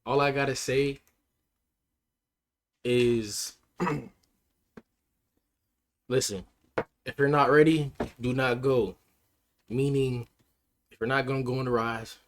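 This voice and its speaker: A man livestreaming and chatting with his viewers in a laid-back, slangy way, his voice hoarse and cracking. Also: mid-range voice, measured pace, long pauses. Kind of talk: casual chat with stream chat.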